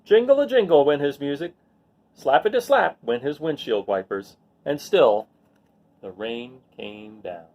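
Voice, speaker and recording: A man's voice: on the high side, a little slow, highs cut off. A man reading a picture book aloud expressively, with casual asides. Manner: expressive, acts out the lines. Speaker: read-aloud storyteller.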